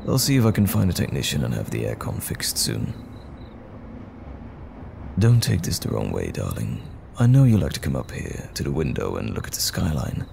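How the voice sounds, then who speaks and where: A man acting as a tender, worried boyfriend in an intimate audio roleplay, speaking gently and soothingly to comfort a troubled partner at night. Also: low-pitched, gravelly, background noise, full character voice. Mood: soft, reassuring. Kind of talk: intimate roleplay audio drama, soft bedside talk.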